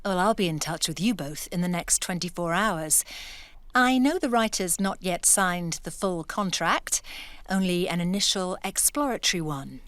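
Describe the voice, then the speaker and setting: A woman's voice: lowish voice, moderate pace, no echo, natural voice. A woman acting in an audio drama as a shrewd, self-assured writer, speaking in a composed and pointed way. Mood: cool, triumphant. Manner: composed, pointed, quietly assertive. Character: shrewd, self-assured woman writer secretly behind a male pen name.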